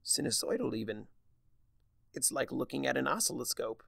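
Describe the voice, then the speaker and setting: A man acts out a young male changeling worker from a dark fantasy story. He is eager and inquisitive, a little puzzled. The voice has some creak and sits in a dry recording. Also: medium pitch, moderate pace, no room sound. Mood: earnest.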